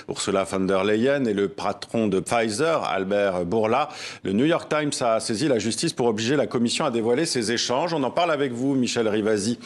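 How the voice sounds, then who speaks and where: A man presenting scripted news and introducing an interview guest. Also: fairly low pitch.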